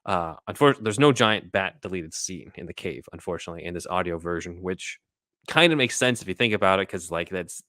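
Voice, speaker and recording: A man with a low, crisp-edged voice hosts a podcast. He explains things casually and conversationally, in a very dry recording. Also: expressive, brisk, pushed and bright, dry recording. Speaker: podcast host.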